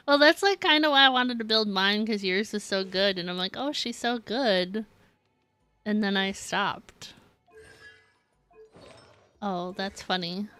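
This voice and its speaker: A woman's voice: mid-range voice, bright, forceful tone, no echo. A woman chatting casually on a game live stream, rambling and giggly as she talks to viewers.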